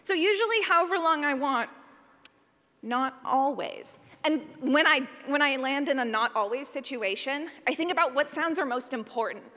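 A woman giving a live workshop talk as a sound designer, animated, playful and informal, acting out examples as she goes. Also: sits high, moderate pace. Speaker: session presenter, podcast sound designer. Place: large indoor session room. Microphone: presentation microphone. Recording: echoey hall, live presentation.

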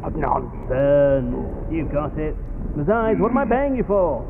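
An actor playing a brisk, demanding professor on a jungle expedition in an audio drama. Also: male voice, a little high, gravelly, narrow, tinny audio, noisy background. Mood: brisk, dismissive.

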